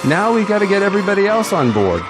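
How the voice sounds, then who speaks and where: Confident veteran politician played by a man, lively and exuberant, fairly high male voice, radio-drama style. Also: fast, over music, crowd noise. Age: middle-aged. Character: seasoned, self-assured male politician running for president. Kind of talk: audio drama acting.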